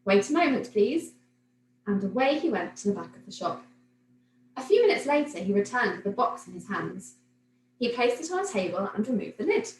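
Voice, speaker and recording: A woman reading a children's picture book aloud like a teacher, in an expressive, animated storytelling manner. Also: mid-range voice, a little fast. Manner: animated, clearly paced storytelling for young children. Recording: roomy echo, noticeable background noise.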